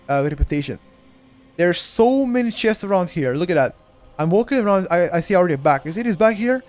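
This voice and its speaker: A man with a Bulgarian accent and a fairly high voice walks viewers through a game live. He talks to his chat directly and loosely, circling back and repeating his words as he goes, with faint music underneath. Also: darkish tone. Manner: chatty, addresses chat directly, repeats himself. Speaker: game guide streamer. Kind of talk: live-streamed gameplay walkthrough.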